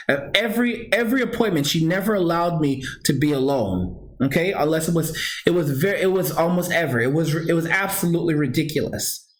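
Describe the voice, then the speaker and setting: Earnest man with a high-ish voice. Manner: earnest, emphatic, repeats himself, talks straight to viewers; frequent restarts and self-corrections. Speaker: vlogger. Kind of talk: personal storytelling to camera.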